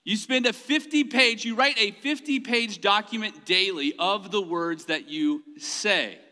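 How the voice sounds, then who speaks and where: A man's voice: high voice, measured pace, pushed and bright. Guy preaching in a big reverby hall, joking about himself and laughing as he goes. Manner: humorous self-deprecating storytelling; laughs often mid-sentence. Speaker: pastor. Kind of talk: sermon.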